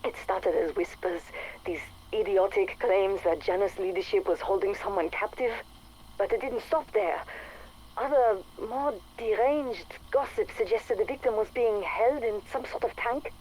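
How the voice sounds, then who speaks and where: An actress playing a bossy, bitter scientist recording an audio log for a game, with a dry, blunt, exasperated manner. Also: female voice, mid-range voice, a little slow, darkish tone, close to an everyday voice. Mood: bitter, sardonic. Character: bossy, bitter, sardonic female scientist doctor. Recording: noisy audio log tape.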